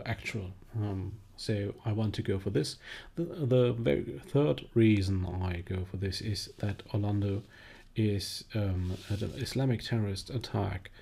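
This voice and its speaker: A man who speaks English as a second language, commenting on a news clip with many halting fillers and restarts. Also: lowish voice, vocal fry, dark tone, breathy edge. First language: not English. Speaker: video commentator. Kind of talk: casual spoken commentary.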